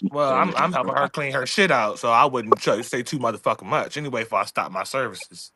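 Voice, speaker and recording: Male co-host, bright forceful voice, slangy joking banter, faint noise behind. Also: mid-range voice, a little fast. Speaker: live show co-host. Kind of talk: casual joking group chat, slang and swearing.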